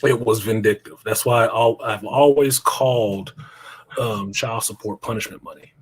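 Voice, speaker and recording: A man's voice: fairly low pitch, steady tone, normal speed, husky, low-quality recording. A middle-aged man speaking as an interview guest, telling his own story in a blunt, plainspoken way and cursing now and then.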